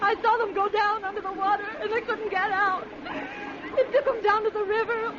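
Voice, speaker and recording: A woman's voice: very high voice, steady tone, brisk, over a noisy crowd. An actress playing a distraught country girl in vintage radio horror style, pleading and breathless with rural speech. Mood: distraught, tearful. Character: distraught, frightened country girl haunted by the dead. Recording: muffled, noisy old radio recording.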